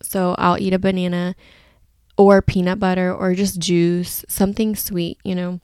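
A woman's voice: lowish voice, level tone, unhurried, a little mellow, smooth, no echo, clean studio sound. A woman hosting a podcast solo in a casual, chatty, rambling and candid way, with a slightly groggy voice. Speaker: podcast host.

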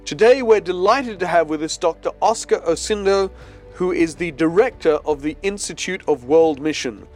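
A man's voice: high-pitched, normal speed, a little mellow, soft background music. A man co-hosting an interview podcast, asking short, inquisitive questions to draw out his guest. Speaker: podcast co-host.

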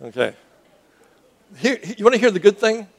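A man's voice: high voice, big pitch swings, moderate pace, gravelly. A man who grew up in Cuba, preaching a sermon to a congregation in an earnest way, often restarting words and asking the audience questions. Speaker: pastor.

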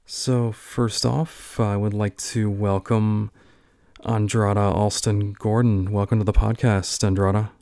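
A man's voice: fairly low pitch, level tone, measured pace, creaky, a little mellow, dry recording, studio quality. A man hosting a university podcast roundtable, introducing guests and asking questions with plenty of filler words. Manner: friendly, filler-heavy interviewer. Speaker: podcast host.

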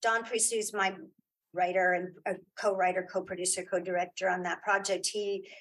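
A woman's voice: mid-range voice, flat delivery, normal speed, a bit bright, low-quality recording. A woman speaking as a guest in a casual interview, chatty and informal, often restarting her sentences. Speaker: interview guest, audio drama creator.

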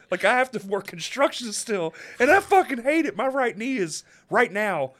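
A man's voice: extremely high pitch, big pitch swings, moderate pace, a bit bright. A man in his thirties hosting a podcast, joking crudely and swearing often. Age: 30s. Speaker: podcast host. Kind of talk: casual chat.

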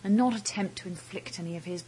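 A woman acting a formal, intense woman in a school confrontation in an audio drama, measured and breathy. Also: medium pitch, mellow, a little hiss or hum, natural voice. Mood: cold indignation.

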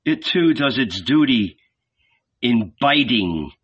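A man voicing a calm young spiritual teacher who speaks softly and tenderly. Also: medium pitch, takes it slowly, slightly rough, full character voice. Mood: gentle. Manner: soft, quiet, tender. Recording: dull lo-fi audiobook recording.

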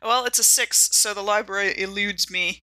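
A woman playing a tabletop game, chatting casually in an animated, giggly manner. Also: medium pitch, moderate pace, dry recording, low-quality recording.

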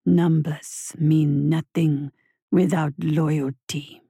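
Woman voicing a slow, deep, menacing tortoise. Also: steady tone, gravelly, dark tone, slightly rough, no room sound, clean studio sound, full character voice. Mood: commanding, contemptuous. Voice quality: deep, heavy, rumbling. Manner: slow, weighty, authoritative delivery. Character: slow, scornful, menacing mysterious tortoise with heavily accented speech.

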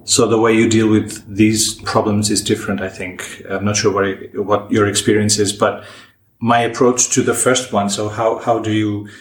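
Guest linguist, male, measured and deliberate, roomy sound. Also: fairly low pitch, level tone. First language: Portuguese. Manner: measured, thoughtful, frequent restarts. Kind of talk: podcast discussion. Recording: roomy echo.

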